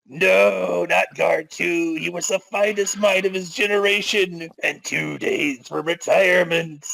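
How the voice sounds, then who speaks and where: A man's voice: high-pitched, steady tone, measured pace, gravelly, a bit bright, dry recording, exaggerated voice, low-quality recording. A man voicing a formal, devoted royal guard close to retirement in a game story reading. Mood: mournful. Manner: formal, chivalrous.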